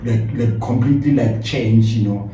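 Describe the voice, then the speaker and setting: A man interviewing a guest casually, in a halting way as he searches for his words. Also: mid-range voice, warm tone, faint music behind. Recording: very roomy, distant mic, faint noise.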